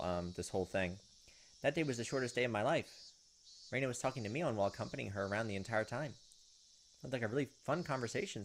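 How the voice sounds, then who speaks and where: A man's voice: medium pitch, fairly bright tone, slight background noise. A man hosting a let's play, reading a visual novel aloud and commenting casually as he goes.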